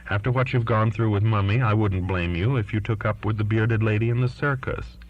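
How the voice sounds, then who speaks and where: An earnest twenty-year-old daughter as a male audiobook reader voices her pleads her case to her father, defiant and insistent. The voice is low-pitched, and the recording is muffled and lo-fi. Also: fairly even intonation, a little fast, lightly acted. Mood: frank, insistent. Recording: dull lo-fi recording.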